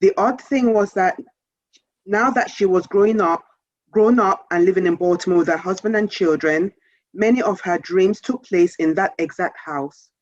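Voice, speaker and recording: A woman author reading a children's story aloud in a measured, expressive storytelling manner. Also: medium pitch, no echo, muffled recording.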